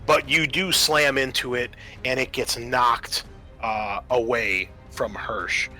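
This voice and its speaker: A male game master with a bright, forward voice talks players through a tabletop roleplaying game. His delivery is conversational, with music playing behind him. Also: mid-range voice, fairly even intonation, takes it slowly.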